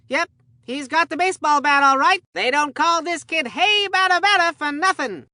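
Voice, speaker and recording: A woman's voice: sits high, bright, forceful tone, no echo, studio quality. A woman playing a goofy, earnest male baseball commentator in a cartoon video game, delivering folksy scripted play-by-play with absurd asides.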